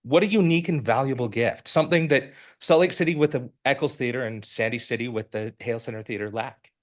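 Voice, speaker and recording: A man in his forties from Utah stands at a podium microphone in a city council chamber, speaking at a middling pitch. His public comment sounds prepared, formal and earnest. He builds his case steadily, with the odd restart mid-sentence. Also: fast talker. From Utah, United States. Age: 40s. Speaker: resident giving public comment.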